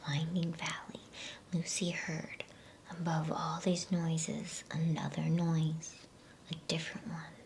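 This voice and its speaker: A woman softly whispering an ASMR bedtime story, reading in a slow, soothing manner with pauses between phrases. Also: low-pitched, vocal fry, a little hiss or hum.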